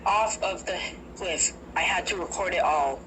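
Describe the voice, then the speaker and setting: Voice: mid-range voice, moderate pace. A narrator telling a first-person horror story, hesitant and prone to restarts. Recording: noisy recording.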